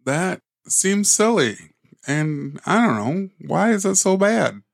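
A man's voice: sits high, very animated, slow, vocal fry, dry recording. A man co-hosting a podcast who laughs often and drops dry one-liners in casual chat. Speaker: podcast co-host.

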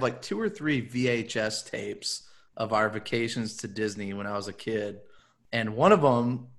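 A man's voice: fairly low pitch, sharp tone. A man from Michigan hosting a casual group podcast, upbeat and rambling with lots of fillers. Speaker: podcast host.

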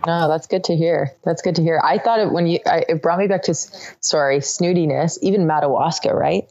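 Woman, deep voice, podcast guest, casual and reflective, dull dry recording. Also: a little fast, a little mellow. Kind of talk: casual chat.

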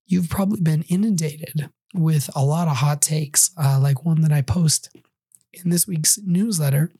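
Hoarse man hosting a solo podcast. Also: fairly high pitch, measured pace, dark tone, dry recording. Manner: conversational with wry asides. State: hoarse, recovering from a cold and flu. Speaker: podcast host.

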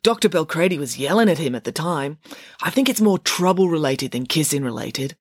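A woman voicing an awkward, sheepish schoolboy with a hesitant, halting manner in a storybook reading. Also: low voice, animated, breathy edge, no echo, studio quality. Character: awkward, sheepish schoolboy, hopeful and easily deflated.

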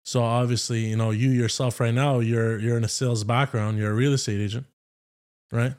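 A man from Canada hosting a podcast, upbeat and hyping up his guest with quick questions. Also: mid-range voice, little pitch movement, darkish tone. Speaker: podcast host. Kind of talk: casual interview chat.

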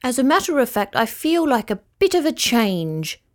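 A woman voicing a small, cheeky male sea rat in a storybook who talks lively and a bit smug. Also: on the high side, normal speed, pitched above the performer's own voice. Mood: chatty.

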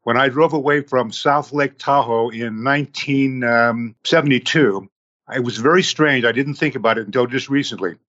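A man in his sixties, a podcast guest who tells his story slowly, in numbered steps. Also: medium pitch, a bit bright, muffled recording.

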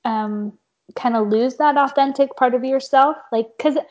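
A young woman hosting a casual conversation, a little hoarse, saying "like" often with an uneven pace. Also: high-pitched, unhurried, darkish tone. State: slightly hoarse. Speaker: podcast host. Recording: dull lo-fi sound.

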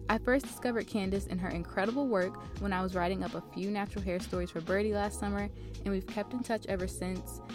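A woman hosting a podcast interview, asking questions and affirming her guest often. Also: medium pitch. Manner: warm encouraging listener, frequent affirmations. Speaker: podcast host. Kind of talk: casual interview.

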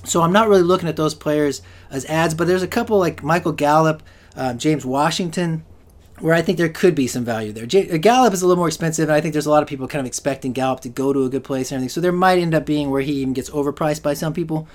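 A man's voice: a little high, fast. A man hosting a solo fantasy football podcast, rambling with lots of restarts and fillers, and he is losing his voice. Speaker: podcast host.

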